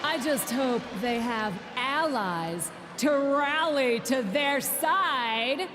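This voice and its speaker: A woman's voice: sits high, animated, music in the background, heavily acted. A woman playing a bold general manager in a video game, making a formal, declamatory announcement to wrestling fans. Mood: dramatic. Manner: declamatory, deliberate. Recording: echoing arena PA with loud noise.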